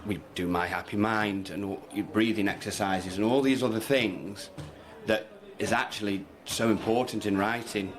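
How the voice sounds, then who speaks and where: A man's voice: fairly low pitch, fairly even intonation. A man, a teacher, speaking earnestly on a radio show with frequent restarts. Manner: halting, earnest, many restarts. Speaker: teacher, guest. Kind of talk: radio interview.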